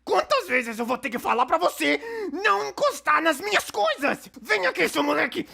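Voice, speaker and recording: Man playing an exasperated, high-voiced cartoon mother. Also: sing-song, normal speed, vocal fry, pushed and bright, heavily acted. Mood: scolding, exasperated. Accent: Brazilian. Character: exasperated, scolding cartoon mother of young children.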